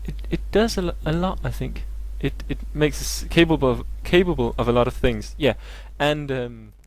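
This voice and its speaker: A young man with Danish as his first language explains maths in a loose, unscripted live stream. His voice sits at an ordinary middle pitch. He hesitates a lot, fills gaps with "um" and "yeah", and often restarts his sentences. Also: moderate pace. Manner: hesitant, many fillers and restarts. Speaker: maths tutor and host. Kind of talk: casual live tutorial.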